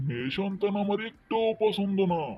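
A stern cartoon village husband, acted by a man, in a fairly high male voice. He is emphatic and scolding, proud and set on having a son. The recording is slightly dull and lo-fi. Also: expressive, fairly quick, no echo. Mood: angry, scornful. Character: stern village husband who demands a son, scorns daughters. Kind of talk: cartoon fairy-tale voice acting.

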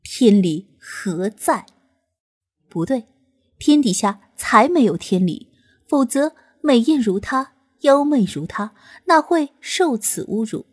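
A woman narrating a fantasy audiobook with a slow, expressive reading. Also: fairly high pitch, breathy edge. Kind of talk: storybook narration.

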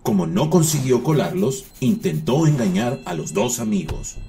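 A man's voice: mid-range voice, very animated, moderate pace, creaky. A man narrating a children's folktale from a script in a storytelling style. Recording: music bed behind the voice.

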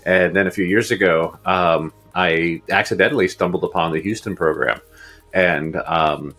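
Deep-voiced man rambling with fillers. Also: normal speed, a bit bright, husky, faint music behind. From Uruguay. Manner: rambling, many fillers and restarts. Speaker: guest futurist. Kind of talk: casual interview answers. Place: home office, indoors. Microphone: earbuds on video call.

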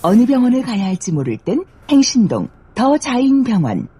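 A woman's voice: a little high, sing-song, moderate pace, mellow, breathy edge. A woman delivering a short scripted advertisement as an announcer. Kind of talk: scripted radio-style advertisement.

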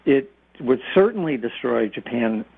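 A mature American man explains things in a plain, folksy way as a radio guest. His voice is medium in pitch with a gravelly fry. It comes through a narrow, tinny phone line. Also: expressive, unhurried, no echo. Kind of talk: conversational interview answers.

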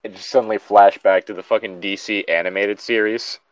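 A man's voice: on the low side, fairly even intonation, a little fast, dry recording, highs cut off. A man co-hosting a casual, joking commentary, exasperated and swearing freely, sometimes reading aloud. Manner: exasperated, profane. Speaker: co-host reading and mocking internet horror fiction.

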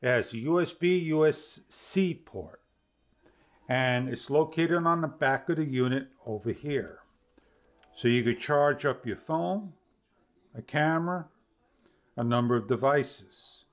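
A man in his fifties giving an instructional product demonstration to camera, with halting phrasing. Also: mid-range voice. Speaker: product reviewer. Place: small home studio room, indoors.

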